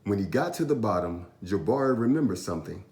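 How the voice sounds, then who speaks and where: A man reading a children's story aloud with expressive, animated narration and drawn-out emphasis. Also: fairly low pitch, reverberant, a little hiss or hum. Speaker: storytime reader.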